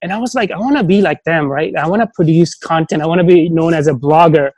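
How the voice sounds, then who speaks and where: A man's voice: a little high, fast talker, darkish tone, no echo. A man as a podcast guest, talking casually and thoughtfully, often stopping to restart his sentences. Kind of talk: casual conversation.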